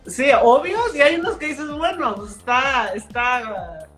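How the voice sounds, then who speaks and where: A woman's voice: high voice, lively intonation, unhurried, fairly bright tone, echoey room, faint music behind. A woman chef with a Mexican accent chatting as a guest, laid-back and full of slang. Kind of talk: casual chat.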